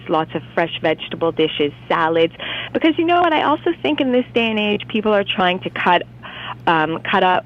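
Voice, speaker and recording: A woman from Canada, a cookbook author, talking brightly on a phone line into a radio interview, often starting with "you know what". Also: fairly low pitch. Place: on the phone from home city.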